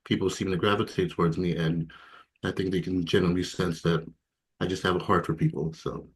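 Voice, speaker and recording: Deep-voiced man from Ghana, reflective. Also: little pitch movement, fairly quick. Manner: reflective, hesitant, many fillers and soft laughs. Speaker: interview guest. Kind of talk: candid personal testimony.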